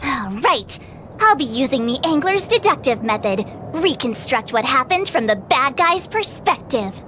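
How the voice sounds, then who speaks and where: A woman voicing an excitable, theatrical young girl detective in a video game, playful and dramatic. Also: high voice, moderate pace, slight background noise. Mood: playfully self-important. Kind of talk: animated video game voice acting.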